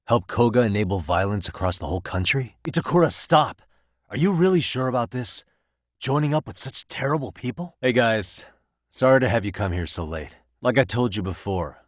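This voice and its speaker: A deep-voiced American man a streetwise, principled detective in a video game. He talks casually with a wry, determined edge, and the sound is dry and close. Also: no echo. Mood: urgent, then earnest, directing. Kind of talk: video game voice acting, naturalistic dialogue.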